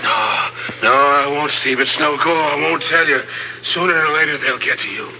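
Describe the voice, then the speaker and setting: An actor playing a battered but stubborn, loyal captive in a 1950s radio adventure, halting and strained with emotion. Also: male voice, on the high side, background noise, natural voice. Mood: pained, pleading. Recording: old 1950s radio recording, loud music.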